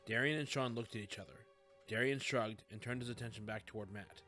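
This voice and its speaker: A man's voice: on the low side, steady tone, a little fast, sharp tone, faint music behind. A man reading scripted fiction as an audiobook narrator.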